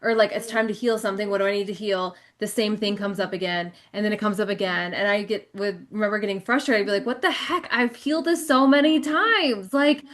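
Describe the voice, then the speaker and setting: Casual woman podcast host, medium pitch. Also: brisk, hardly any pauses, a bit bright. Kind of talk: casual conversational interview.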